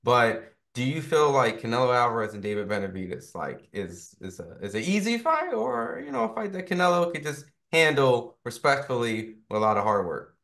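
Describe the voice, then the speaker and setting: A man's voice: medium pitch, very animated, moderate pace, vocal fry, hardly any pauses, a bit bright. A man interviewing a boxer in a casual way, full of fillers and frequent "right?" tags. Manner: chatty, filler-heavy, keeps asking "right?".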